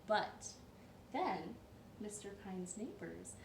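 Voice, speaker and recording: A woman hosting a children's storytime from her living room, telling the story in a playful, expressive and emphatic way. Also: mid-range voice, slow, gravelly. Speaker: storytime host. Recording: roomy echo, distant mic, light noise.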